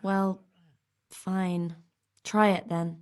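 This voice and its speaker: A woman's voice: medium pitch, little pitch movement, very slow, very noisy. A woman playing a weary, stern and devoted medieval nurse in a video game, blunt in manner. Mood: doubtful. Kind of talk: video game cutscene dialogue.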